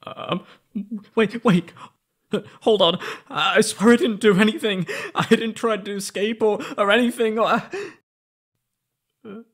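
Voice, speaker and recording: A man acts out a timid young male captive in an ASMR audio drama. His voice is on the high side and creaky, swinging up and down with nerves. He speaks slowly and haltingly, stammering as he pleads with his captor. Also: fairly even intonation. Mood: anxious. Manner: nervous stammering, hesitant. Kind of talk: ASMR roleplay audio drama, intimate monologue.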